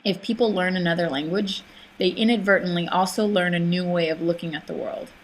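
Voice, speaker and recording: A woman's voice: on the low side, steady tone, a little fast, slight background noise, low-quality recording. A young woman whose first language is not English, narrating a reflective personal essay, thoughtful, with hesitant fillers and restarts.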